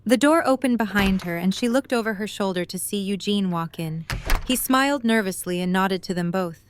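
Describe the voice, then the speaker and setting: A woman's voice: medium pitch, moderate pace, slight background noise. A woman narrating a scripted story as the narrator of an audio drama.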